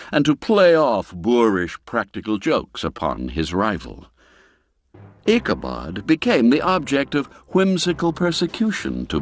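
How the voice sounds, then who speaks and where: A man narrating a classic tale as an audiobook, in a theatrical, expressive, literary storytelling manner. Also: lowish voice, no room sound, clean studio sound.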